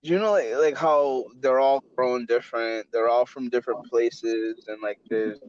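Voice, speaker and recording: A man's voice: mid-range voice, normal speed, a little mellow, no room sound. A man hosting a casual podcast chat, rambling with many stammered restarts and swearing with easy enthusiasm. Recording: dull dry lo-fi recording.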